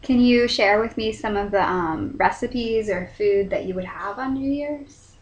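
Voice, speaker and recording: A woman interviewer asking plain questions in a soft-spoken way. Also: mid-range voice, a little slow, dull audio. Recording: roomy echo.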